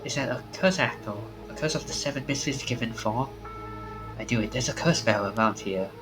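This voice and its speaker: A man voicing an anxious, excitable young man in a visual novel, with dramatic exclamations. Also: mid-range voice, brisk, natural voice, poor audio. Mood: suspicious, alarmed. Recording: game music behind the voice.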